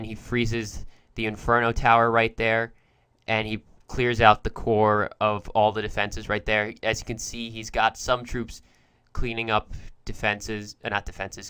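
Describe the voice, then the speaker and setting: A man's voice: on the low side, a bit bright, clean tone, no echo. A young man commentating game replays, explaining each attack step by step, with a cold wearing on his voice. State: has a cold, voice going. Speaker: gaming commentator.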